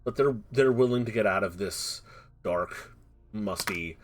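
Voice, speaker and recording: A man narrating a tabletop roleplaying game as game master, halting and repeating words as he improvises. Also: on the low side. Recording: music playing behind the voice. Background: music.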